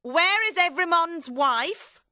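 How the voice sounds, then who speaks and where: A woman voicing a cold, vengeful revolutionary woman in a storybook reading, forceful, deliberate and ominous. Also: high-pitched, big pitch swings, no echo, clean studio sound, pitched above the performer's own voice, full character voice. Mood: commanding.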